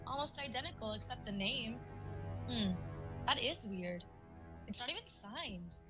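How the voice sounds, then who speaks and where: A woman's voice: fairly high pitch, expressive, a little slow, music in the background. A woman playing a casual, curious, slightly puzzled teenage girl in an audio drama. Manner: casual, questioning.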